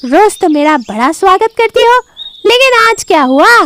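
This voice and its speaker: A woman voicing a clever, watchful mother deer in an animated moral story, calling out in an exaggerated way. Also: very high voice, moderate pace, pitched above the performer's own voice, exaggerated voice. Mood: feigned puzzlement.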